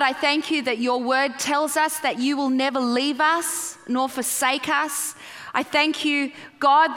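A woman's voice: high voice, bright, forceful tone, big-room sound. An Australian woman in her forties, a guest pastor giving a chatty, playful sermon and prayer and asking the crowd questions. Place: large church auditorium.

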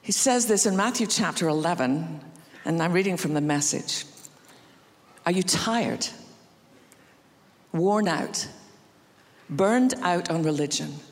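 A woman's voice: fairly low pitch, animated, slow pace, pauses often. Scottish woman preaching in a reverberant hall. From Scotland. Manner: warm anecdotal humor, acts out quotes. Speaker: guest preacher. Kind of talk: sermon storytelling. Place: large church auditorium.

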